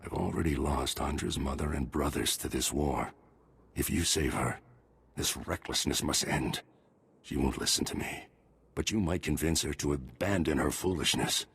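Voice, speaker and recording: An actor playing a stern, worried middle-aged army major and father in a video game, gruff and commanding. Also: normal speed, a bit bright, heavily acted. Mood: grave, pleading. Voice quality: very deep, gravelly husky voice. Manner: gruff, commanding military delivery.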